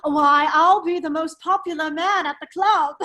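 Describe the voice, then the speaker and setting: A woman's voice: very high-pitched, fairly even intonation, unhurried, sharp tone, exaggerated voice. A woman playing a foppish, teasing English nobleman who dithers and exclaims in an affected drawl, in a radio play table read.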